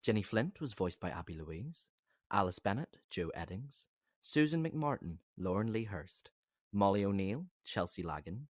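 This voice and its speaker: A man's voice: fairly low pitch, no room sound, clean studio sound. A man announcing the credits of an audio drama in a scripted read.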